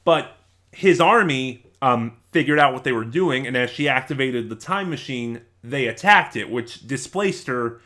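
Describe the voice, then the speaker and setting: A man's voice: mid-range voice, animated, unhurried, bright, forceful tone. A man giving a casual, unscripted review in a rambling, conversational way, correcting himself as he goes. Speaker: reviewer and channel host.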